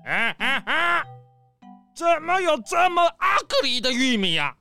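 A woman's voice: high voice, very animated, takes it slowly, vocal fry, pushed and bright, soft background music, exaggerated voice. A woman playing a spoiled, imperious male crocodile farm owner in a children's audio drama, whiny, drawing out words and dropping in English exclamations. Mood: exasperated, shouting.

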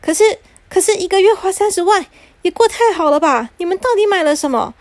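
A woman's voice: sits high, lively intonation, quick pace, a little mellow. A woman voicing an exasperated middle-aged father who scolds his family and stammers as he rants. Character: angry middle-aged father back from abroad.

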